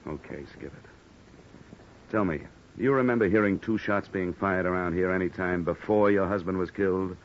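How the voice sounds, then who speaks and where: An American actor playing a cynical, wisecracking hard-boiled insurance investigator in an old radio noir drama, with sardonic, clipped slang. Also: male voice, low voice, normal speed, lightly acted. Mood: pressing, skeptical. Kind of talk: 1950s radio noir acting and narration. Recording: muffled, noisy old radio recording.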